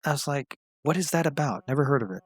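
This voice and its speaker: A man's voice: a little high, quick pace, slightly rough, dry recording. Chill guy co-host running down movie lists, dry close sound. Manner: relaxed, runs through lists of films and years. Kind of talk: casual movie chat.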